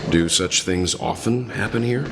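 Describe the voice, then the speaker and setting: A man voicing a reserved, composed gentleman in a video game, formal, measured and serious. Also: low voice. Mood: grave, composed. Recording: clear noise and ambience behind.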